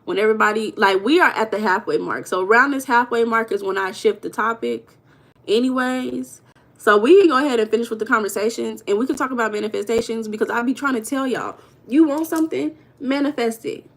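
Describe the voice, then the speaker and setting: A woman's voice: on the high side, a little fast, fairly bright tone. A woman from Los Angeles with an American accent, hosting a casual livestream and reading viewer comments aloud with teasing, slangy banter. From Los Angeles, USA. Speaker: livestream host.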